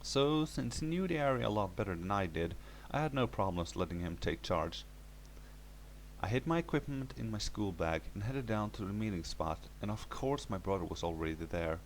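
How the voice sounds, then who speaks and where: A man's voice: on the low side, expressive, moderate pace, a little hiss or hum. A man reading a scripted first-person horror story as its narrator.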